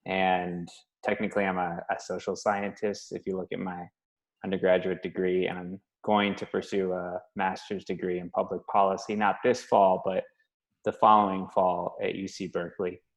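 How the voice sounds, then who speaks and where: A man thoughtfully telling about his experiences abroad over a video call, measured and reflective. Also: deep voice, little pitch movement, rough recording. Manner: thoughtful, measured, some restarts. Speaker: workshop participant. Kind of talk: reflective personal story.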